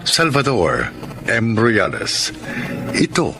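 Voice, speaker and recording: A man with a Filipino accent hosting a radio drama, announcing formally in a measured, solemn, dramatic way. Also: fairly low pitch, wildly swooping pitch, creaky, sharp tone, slight background noise.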